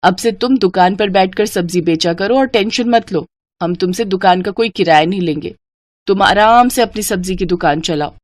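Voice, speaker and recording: A woman voicing a young pregnant wife in an animated story, speaking politely and gently. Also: medium pitch, moderate pace, no room sound, natural voice. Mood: grateful.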